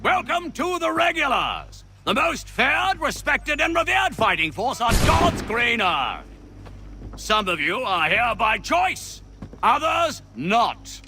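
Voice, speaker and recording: An actor playing a stern army officer in a video game, giving a commanding, declamatory military speech to recruits. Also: male voice, extremely high pitch, wildly swooping pitch, slow, noisy background, heavily acted. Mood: stern rousing.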